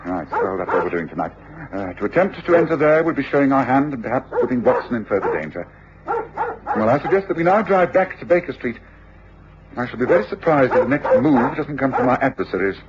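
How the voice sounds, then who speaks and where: An actor playing a shrewd, commanding gentleman detective with an English accent, precise and authoritative, in old radio drama. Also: mid-range voice, huge pitch swings, fairly quick. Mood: brisk, commanding. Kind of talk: 1950s-60s radio drama acting. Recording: dull, noisy old radio drama recording.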